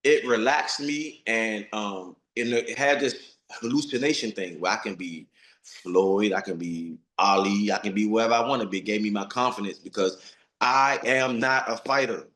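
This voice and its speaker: A man's voice: fairly low pitch, normal speed, fairly bright tone, roomy sound. A man of forty, a retired boxer, giving blunt, streetwise interview answers and repeating himself for emphasis. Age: 40s.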